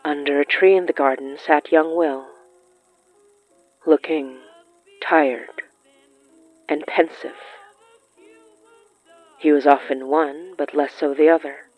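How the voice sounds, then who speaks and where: A narrator tells a literary period story in a measured, deliberate voice with careful pauses. The pitch sits in the middle, and the recording is very dry and slightly dull, with music faintly underneath. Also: steady tone, dry recording. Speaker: narrator of a fiction podcast. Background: background music.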